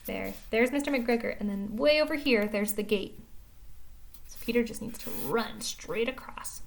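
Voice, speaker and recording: A woman reading a children's story aloud in an expressive, dramatic way, moving between hushed and emphatic delivery. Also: on the high side, normal speed, gravelly, slight background noise. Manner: animated, dramatic, with hushed whispers and emphatic swells. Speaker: storybook reader.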